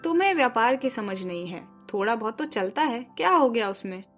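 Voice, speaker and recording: A woman's voice: on the high side, lively intonation, brisk, a bit bright, soft background music. A woman voicing a crafty male food-cart vendor in a children's story, casual and self-justifying. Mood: glib, dismissive.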